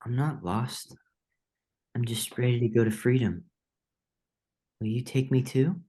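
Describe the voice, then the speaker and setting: A man's voice: mid-range voice, fairly even intonation, measured pace, dark tone, lightly acted. A man playing a naive, earnest young pet mouse in an audio drama, with a hesitant, pleading manner. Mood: earnest, hopeful.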